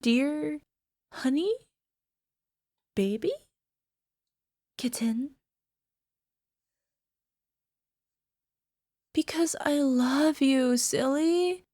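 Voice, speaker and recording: Casting: actress for a young female alchemist, sweet obsessive girlfriend type; high, unhurried, hesitant and giggly, for ASMR roleplay audio. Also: mellow. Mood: lovestruck, clingy. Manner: giggly, hesitant, sighing, slipping into whispers.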